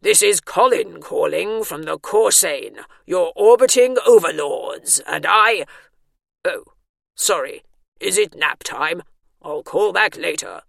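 A woman's voice: lively intonation, takes it slowly, vocal fry, dry recording, studio quality, exaggerated voice. A woman voicing a bombastic but bumbling alien overlord in a comic audiobook story. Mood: sheepish apology. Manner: grandiose, booming, then sheepish.

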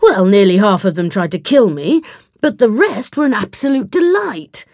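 Wry, protective male time traveller, read by a woman, calm and clipped, storybook hero, dry close recording. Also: mid-range voice, normal speed, no room sound. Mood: wry, reassuring.

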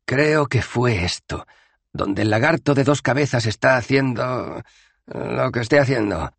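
A man reading an audiobook aloud, voicing a nervous, fussy male wizard in a raised, hurried, fretful voice. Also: mid-range voice, vocal fry, slightly rough, dry recording, voice pushed up.

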